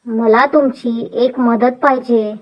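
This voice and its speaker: Casting: woman as earnest young wife, polite and deliberate, high-pitched, slow, for story dub. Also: fairly even intonation, muffled recording. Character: earnest young city-bred daughter-in-law, contrite and willing. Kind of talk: animated moral story dubbing.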